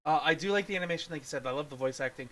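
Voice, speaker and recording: A man's voice: on the high side, racing, bright, forceful tone. A man co-hosting a casual film review, talking in a rambling way that often trails off mid-thought. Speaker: podcast co-host reviewer.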